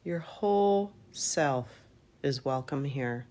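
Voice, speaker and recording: A woman leading a guided meditation in a calm, gentle manner, in unhurried phrases with long pauses. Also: very deep voice. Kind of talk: guided yoga nidra meditation.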